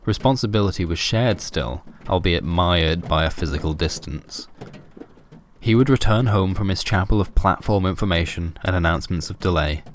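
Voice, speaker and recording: A man's voice: deep voice, slight background noise. A man narrating a scripted horror story for a fiction podcast.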